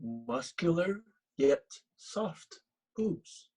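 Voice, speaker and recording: Actor as a husky, animated, tipsy party guy. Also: high voice, very slow, exaggerated voice, low-quality recording. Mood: tipsy banter. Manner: playful, bantering. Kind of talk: comedic table read acting.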